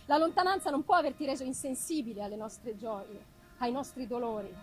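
High voiced woman reading a gothic novel out loud, dramatic pauses, bit of live music behind her. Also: rough recording. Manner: expressive, deliberate phrasing with dramatic pauses. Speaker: reader of a classic novel.

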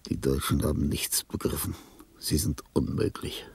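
An actor playing a dying, lonely old composer in a radio drama, bitter and imperious, with a husky, gravelly, halting delivery. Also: male voice, extremely low pitch, fairly even intonation, no room sound, full character voice. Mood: indignant, embittered. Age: older. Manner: halting, theatrical, swinging between muttering and outbursts. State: weak, shivering with cold. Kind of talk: German radio drama monologue.

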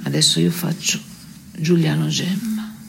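An actress playing a gloomy, sardonic cowgirl in a stage dialogue, terse and deadpan. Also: sits low, unhurried, gravelly, background noise, heavily acted. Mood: solemn. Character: gloomy, sardonic female cowboy musing on death. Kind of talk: theatre dialogue.

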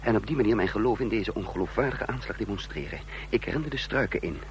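An actor playing a tense, commanding conspirator and first-person narrator in a radio drama, emphatic and dramatic. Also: male voice, lowish voice, animated, fast talker, lightly acted. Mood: tense narration. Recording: noisy, humming old radio recording.

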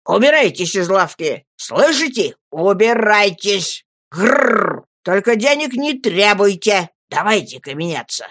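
A woman's voice: mid-range voice, very animated, a little slow, fairly bright tone, no room sound, clean studio sound. A woman voicing a ferocious, drunken old male junk dealer who wails and growls gutturally. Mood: ranting, wailing.

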